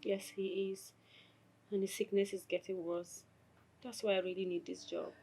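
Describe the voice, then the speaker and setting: A woman's voice: mid-range voice, level tone, normal speed, vocal fry, mellow, husky, poor audio. A woman playing an earnest, devoted young adult daughter in a film drama, speaking in a pleading way. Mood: grateful, hopeful.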